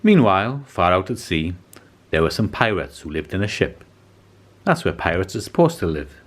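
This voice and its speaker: Man, low-pitched, animated, expressive children's story narration, deliberate phrasing. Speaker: storybook reader.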